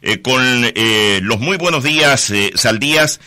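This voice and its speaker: A man's voice: mid-range voice, slow pace, bright, forceful tone. A man hosting a radio interview, formal and full of hesitant fillers. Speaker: radio host. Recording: radio broadcast audio.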